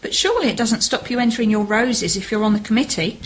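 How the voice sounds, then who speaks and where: A woman's voice: fairly high pitch, quick pace, a little hiss or hum, natural voice, rough recording. A woman acting a homely, sensible, cautious sister in an amateur radio play, with a questioning manner. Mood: encouraging.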